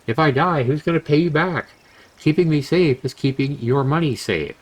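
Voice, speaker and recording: A man's voice: fairly high pitch, animated, normal speed, voice pushed up. Man reading as a brash, cajoling young adventurer. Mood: pleading. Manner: cajoling, slangy banter. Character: brash, cocky, money-hungry young male adventurer buddy. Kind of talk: visual novel character reading.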